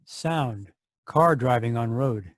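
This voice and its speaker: A man's voice: mid-range voice, expressive, takes it slowly, faint music behind. A man reading out sound-effect cues in short, plain phrases for a radio play. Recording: live video-call performance.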